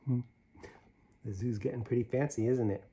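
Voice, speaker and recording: A man's voice: mid-range voice, normal speed, warm tone, slight background noise. A man reading a rhyming children's storybook aloud in a playful, theatrical way, dropping in conversational asides to listeners. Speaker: storybook reader.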